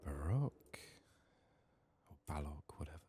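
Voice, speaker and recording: Very deep, soft-spoken man. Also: sing-song, unhurried, a little hiss or hum. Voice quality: hushed, soft, sometimes slipping into whisper. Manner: muttering, thinking out loud. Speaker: gaming video host. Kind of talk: soft-spoken ASMR game playthrough commentary.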